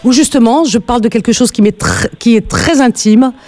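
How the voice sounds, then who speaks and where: A woman's voice: on the high side, fairly quick, warm tone. A woman hosting a live radio call-in, speaking in an earnest, emphatic way and repeating phrases for emphasis.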